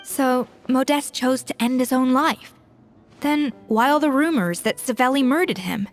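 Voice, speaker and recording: An actress playing a sharp, determined young investigator who talks in a brisk, analytical way. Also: female voice, high voice, soft background music. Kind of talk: video game voice acting.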